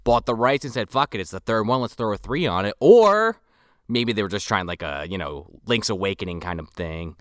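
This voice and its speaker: A man hosting a podcast, relaxed and chatty as he plugs the show. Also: on the low side, fairly quick, clear, resonant tone, no echo, clean studio sound. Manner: laid-back, reads show plugs. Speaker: podcast host. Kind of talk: casual podcast chat.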